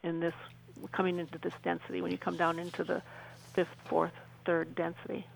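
A woman's voice: lowish voice, monotone, fairly quick, gravelly, poor audio. A middle-aged woman giving an earnest personal account as a guest in a radio interview.

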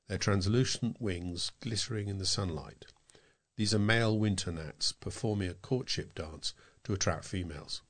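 Male, British accent, lowish pitch, volunteer reader of scripted local news and narration. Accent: British English. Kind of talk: scripted narration, reading aloud.

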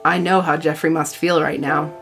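A woman's voice: sits low, level tone, moderate pace, creaky, faint music behind, pitched below the performer's own voice. A woman voicing a pained, pleading teenage boy with a temper, in a dramatic reading of a teen novel.

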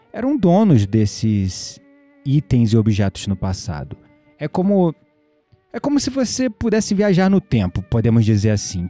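A man with a Brazilian accent acting in an audio drama as a patient believer who explains spiritual matters in a conversational, gently teasing way. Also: mid-range voice, dry recording. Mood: earnest, persuasive. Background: music behind the voice.

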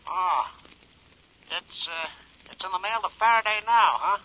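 An actor playing a scheming, tense gangster, terse and demanding, in a 1940s-style radio crime drama. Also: male voice, high voice, unhurried, bright, forceful tone, exaggerated voice. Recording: muffled telephone-like old radio recording.

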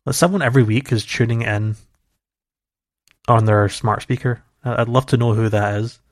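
A man's voice: medium pitch, normal speed, dry recording, clean studio sound. A man co-hosting a casual gaming podcast, chatty and rambling, laughing easily. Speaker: podcast co-host. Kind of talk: casual chat.

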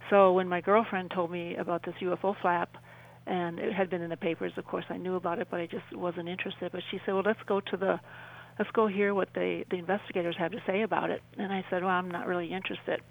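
A woman in her sixties with a Midwestern American accent, telling a personal story as an interview guest in a halting, conversational way. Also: lowish voice, flat delivery, creaky, rough recording. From southwestern Wisconsin, United States. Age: 60s.

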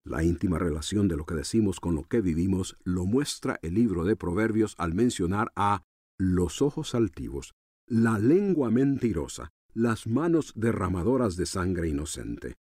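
Husky, deep-voiced male preacher. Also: big pitch swings, normal speed, mellow, no echo, clean studio sound. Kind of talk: radio sermon in Spanish, measured teaching.

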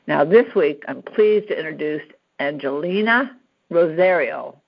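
A woman hosting an interview podcast, introducing her guest and asking questions in an encouraging way. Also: low voice. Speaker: podcast host. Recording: dull, lo-fi podcast audio.

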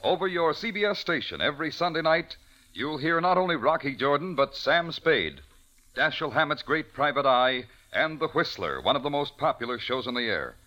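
A male announcer from the golden age of radio reads scripted copy. His voice is fairly high, bright and lively in pitch. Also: moderate pace, poor audio. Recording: old radio recording.

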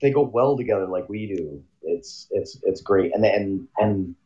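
Lively, rambling male podcast co-host. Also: on the low side, normal speed, highs cut off. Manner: rambling, laughing, jumping into silly voices. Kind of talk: crude casual chat.

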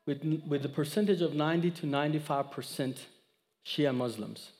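A man's voice: on the high side, moderate pace, big-room sound. Iranian-accented man preaching his story. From Iran. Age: 30s. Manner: expressive storyteller, acts out dialogue, audience laughter. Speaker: guest speaker. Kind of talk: sermon with personal testimony.

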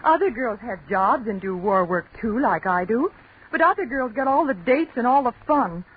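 A woman's voice: a little high, expressive, normal speed, husky. An actress playing a young working woman in a 1940s radio commercial. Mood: miserable, complaining. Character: lonely, jittery young working woman in tonic ad. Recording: muffled, crackly 1940s radio broadcast.

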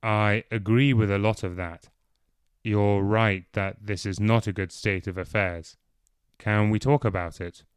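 A man voicing a reserved, composed man who speaks with slow, careful caution. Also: fairly low pitch, fairly even intonation, clear, resonant tone, no echo, studio quality, close to an everyday voice. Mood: cautious.

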